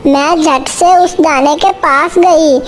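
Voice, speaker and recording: A woman's voice: sits high, fairly even intonation, slow. A woman voicing a small female bird, earnest and easily indignant, in a children's cartoon story, with music behind. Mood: earnest recounting. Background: faint music.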